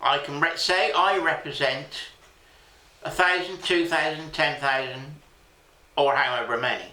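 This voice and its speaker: A man's voice: on the high side, unhurried, bright, forceful tone. A man hosting a country sports channel, making an earnest, plain-spoken campaign appeal to viewers. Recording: echoey room.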